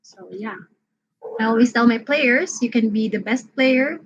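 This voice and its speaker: A woman with a husky voice speaking personally and reflectively as a webinar panelist, halting and rephrasing, on muffled online-call audio. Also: a little high. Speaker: webinar panelist, coach and teacher. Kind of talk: personal, reflective unscripted talk.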